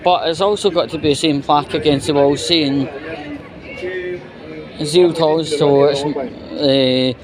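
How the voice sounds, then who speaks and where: A man with a Scottish accent gives an exasperated, sweary, halting off-the-cuff rant while out walking. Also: a little high, fairly even intonation. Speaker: vlogger. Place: outdoors, walking. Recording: noisy outdoor street with traffic and crowd.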